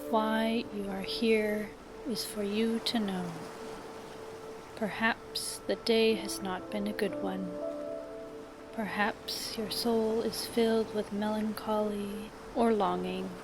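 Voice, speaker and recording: A woman hosts a scripted meditation podcast, guiding the listener through fantasy imagery. Her voice sits at a medium pitch and moves very slowly, with soothing, measured phrasing and long pauses. Loud music plays behind her throughout. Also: little pitch movement. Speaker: podcast host and meditation narrator. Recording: loud music bed under the voice. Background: loud background music.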